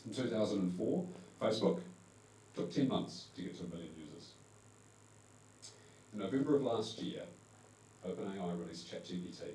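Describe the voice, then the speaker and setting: A man's voice: low voice, mellow. A man with a New Zealand accent giving a conversational presentation to a live audience at an event, correcting himself now and then with fillers. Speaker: conference panel speaker. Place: event hall. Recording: echoey hall, distant mic, faint hum.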